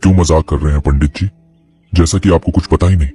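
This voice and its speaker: A man's voice: very deep voice, steady tone, fast, dark tone. A man voicing an indignant village householder in a cartoon who accuses a priest. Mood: reproachful. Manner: reproachful, accusing. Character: indignant middle-aged male householder. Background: faint music.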